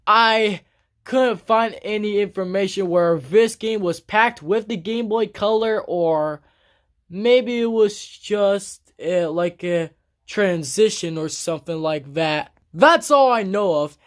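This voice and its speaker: Slow-talking vlogger, halting, rambling, blunt, casual game collection talk, camera on a tripod. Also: medium pitch. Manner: halting and rambling, with many restarts; blunt and grumbling about their own speech. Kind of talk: casual collection show-and-tell vlog.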